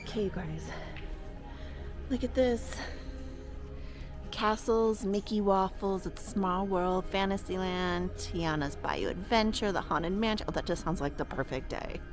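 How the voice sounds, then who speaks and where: A woman with a mid-pitched voice talks to viewers in a casual shopping vlog. She gushes with enthusiasm as a co-host would. Music plays clearly behind her. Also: unhurried. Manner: gushing, enthusiastic.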